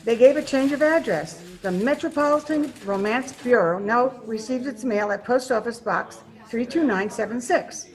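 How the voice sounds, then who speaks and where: A woman's voice: a little high, normal speed, few pauses, lightly acted, low-quality recording. A woman playing a brassy, offhand post office clerk in an old-time radio detective drama.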